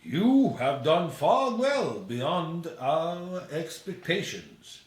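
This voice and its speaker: A man's voice: fairly high pitch, big pitch swings, very slow, far from the mic, full character voice. Guy doing a solemn hopeful old-timey ghost from a storybook, grand n drawn out, echoey room. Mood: solemn, grateful. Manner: grand, solemn, archaic phrasing.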